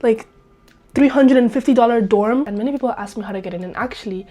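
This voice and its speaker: A woman's voice: fairly high pitch, fast, dark tone. A young woman from Spain, still getting over a cold, chatting upbeat to camera in a small dorm room and saying "like" a lot. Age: young adult. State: recovering from a cold. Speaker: vlogger. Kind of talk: casual vlog talk to camera.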